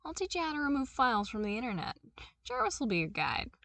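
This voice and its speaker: A woman reading aloud and voicing a brash, teasing male genius with quick, showy delivery. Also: sits high, very animated, gravelly, a bit bright, no room sound, recorded from a distance, pitched above the performer's own voice, exaggerated voice.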